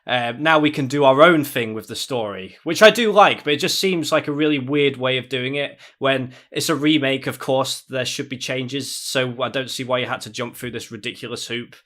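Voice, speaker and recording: Bright, forceful man on a podcast. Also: mid-range voice, moderate pace, hardly any pauses. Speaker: podcast panelist. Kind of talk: casual podcast discussion.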